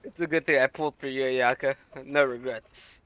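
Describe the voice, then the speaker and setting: Wry streamer tossing off asides. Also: male voice, mid-range voice, fairly even intonation, normal speed, fairly bright tone, clean tone, low-quality recording. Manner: wry, offhand asides to chat. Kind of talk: live game commentary.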